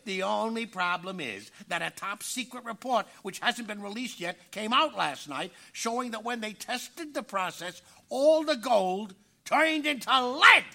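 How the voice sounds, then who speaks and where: A man playing a bossy, frantic, scheming dog in a live audio play, excitable and exasperated as he gives orders. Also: high voice, a little slow, gravelly, hardly any pauses, full character voice. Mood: urgent scheming. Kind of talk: live audio theater acting.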